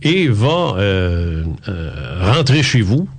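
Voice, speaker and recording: A man hosts a radio interview in a studio. His voice is husky and his pitch swings widely, and he talks hesitantly, full of fillers and restarts. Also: sing-song, drawn-out words. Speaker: radio host.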